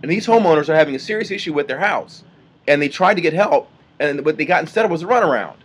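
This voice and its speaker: A man's voice: fairly high pitch, lively intonation, quick pace, breathy edge. A man making an assertive, argumentative complaint on a phone call, speaking informally and indignantly. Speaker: complaining caller, authorized third-party contact.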